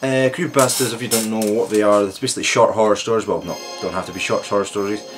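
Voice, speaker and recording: A man's voice: fairly low pitch, steady tone, quick pace, soft background music. A man hosting his own channel, introducing a video in a rambling, joking way with many restarts. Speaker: channel host.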